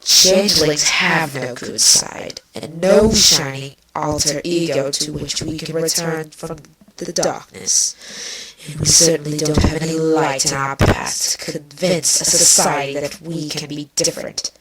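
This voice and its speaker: A woman's voice: low voice, steady tone, unhurried, a crowd in the background. A woman voicing a bitter, venomous changeling queen villainess with a biting, sardonic delivery in a fan fiction reading. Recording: distant mic, clearly noisy.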